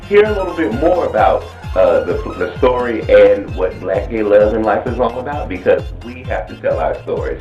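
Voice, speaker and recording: A man speaking as a host, giving an unhurried, conversational spoken introduction. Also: fairly low pitch, darkish tone. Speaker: host introducing a stage play. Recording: roomy echo, faint music behind.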